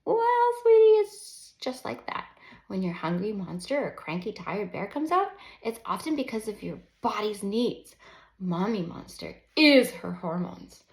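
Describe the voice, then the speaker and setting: A tender storybook mother read by a woman explains patiently to little children while sounding a touch overwhelmed. Her pitch moves freely, with creaky edges on her words, in a roomy echo. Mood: encouraging.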